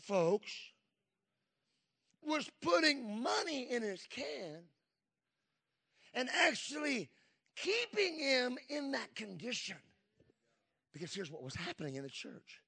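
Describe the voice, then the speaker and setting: Male preacher, fifties, high and bright, emphatic sermon delivery with congregation asides. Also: sing-song, takes it slowly, creaky, pauses often, breathy edge. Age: 50s. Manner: emphatic, call-and-response asides. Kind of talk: Pentecostal sermon.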